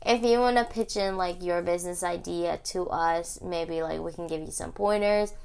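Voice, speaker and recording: A woman's voice: lowish voice, fairly even intonation, moderate pace, vocal fry, a little mellow, clear, resonant tone. A young woman chatting informally and teasingly as a podcast co-host. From United States, Texas. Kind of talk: casual chat.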